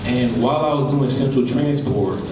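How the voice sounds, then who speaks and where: Loud young Southern man, echoey hall. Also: mid-range voice. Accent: Southern American. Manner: loud, quick, friendly. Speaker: ER tech and nursing student. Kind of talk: personal story at a panel. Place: large conference hall. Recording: echoey hall, mic far off, noise.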